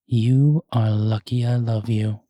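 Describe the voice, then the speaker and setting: A man's voice: on the low side, warm tone, no room sound, studio quality. A man playing a grumpy, jealous ghost boyfriend in an audio roleplay, with a sardonic, sullen manner. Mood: grudgingly fond.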